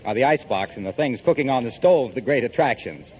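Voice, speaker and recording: Lively 1940s male radio pitchman. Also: fast talker, rough recording. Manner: conversational salesmanship addressed to housewives. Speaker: radio announcer. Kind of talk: 1940s scripted radio advertising. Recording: vintage 1940s radio broadcast.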